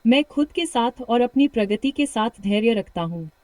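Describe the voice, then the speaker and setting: Brief: female coach, British accent, slightly high voice, encouraging, slow repeated drill lines. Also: animated, no echo. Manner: warm encouragement, slow repeated practice sentences. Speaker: English speaking coach. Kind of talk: guided shadowing practice lesson.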